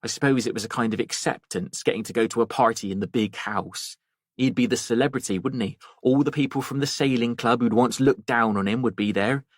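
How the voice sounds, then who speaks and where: A man's voice: mid-range voice, fairly quick, dry recording, studio quality, lightly acted. A man voicing a talkative, rambling working-class woman gossiping in an audiobook. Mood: fond, gossipy. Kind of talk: audiobook character voice.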